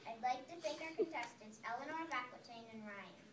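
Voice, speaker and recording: A female amateur performer playing a brisk quiz show host, reading scripted lines in readers' theater. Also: a little high, a crowd in the background, natural voice. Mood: explanatory. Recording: echoey, noisy room, distant microphone.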